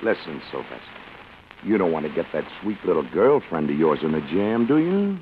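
An actor playing a bullying, sneering police detective in a 1940s radio crime drama, taunting and menacing in slangy speech. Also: male voice, low voice, sing-song, a little fast. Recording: muffled 1940s radio recording, light noise.

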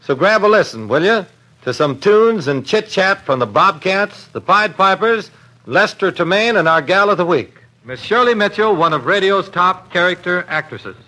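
Man, jovial slangy radio host, high and bright, vintage recording. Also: animated, moderate pace, rough recording. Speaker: show host. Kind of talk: 1940s radio comedy hosting. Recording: 1940s radio broadcast recording.

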